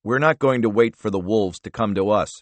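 A man's voice: on the low side, brisk, no echo, lightly acted. A man voicing a resolute president who speaks in firm, declarative statements.

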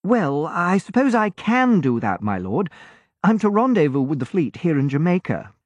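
A man's voice: on the high side, big pitch swings, normal speed, no echo, voice pushed up. A man reading an audiobook and voicing a cheerful, eager young male naval lieutenant who chats in a confiding way. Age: late teens. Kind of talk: audiobook character voice. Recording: dull, muffled audiobook recording.

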